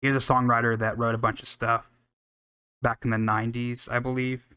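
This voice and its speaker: A man's voice: mid-range voice, level tone, moderate pace, pushed and bright, smooth, no room sound, studio quality. An American man hosting a music podcast, talking casually and enthusiastically in a rambling, unscripted way with some swearing.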